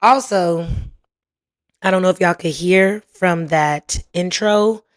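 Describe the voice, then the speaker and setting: A young woman hosting her own podcast in casual chat, her voice raspy and hoarse as it recovers, talking playfully with lots of slang. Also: lowish voice. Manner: chatty, playful, slangy, drawn-out emphasis. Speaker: podcast host.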